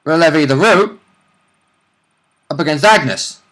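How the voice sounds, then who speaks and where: A man's voice: on the high side, expressive, slow pace, fairly bright tone. A man co-commentating on a tabletop role-play vidcast, chiming in eagerly with casual banter about rules and fighters.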